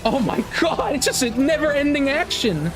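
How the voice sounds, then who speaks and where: A gaming content creator giving casual live reaction commentary, excitable and exclamatory, swearing freely. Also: high-pitched, moderate pace. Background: trailer music behind the voice.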